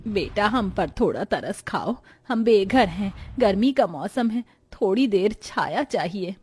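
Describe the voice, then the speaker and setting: A woman's voice: mid-range voice, lively intonation, measured pace, darkish tone, slightly rough, faint music behind. A woman voicing a poor, long-suffering, plaintive family woman in a cartoon story. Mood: pleading. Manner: plaintive, lamenting. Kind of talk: Hindi cartoon story acting.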